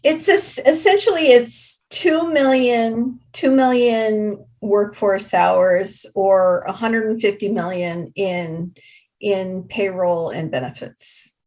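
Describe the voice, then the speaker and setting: A woman giving explanatory interview answers as a project representative, deliberate and halting, leaning on key words. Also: mid-range voice, darkish tone.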